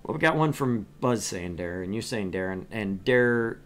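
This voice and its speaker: A man's voice: lowish voice, expressive, moderate pace, fairly bright tone, slight background noise. A man streaming games and chatting casually in a jokey way. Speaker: game streamer.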